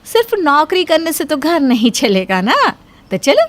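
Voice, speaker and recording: A woman's voice: sits high, very animated, normal speed. A woman playing a jealous, spiteful housewife in a cartoon moral story, sarcastic, bossy and full of complaints. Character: spiteful, jealous elder sister-in-law, grumbling housewife.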